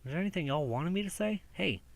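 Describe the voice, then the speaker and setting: A man's voice: high-pitched, lively intonation, fast, creaky. A young man rambling sarcastically and profanely in a casual outdoor monologue as a podcast host. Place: outdoors in daylight.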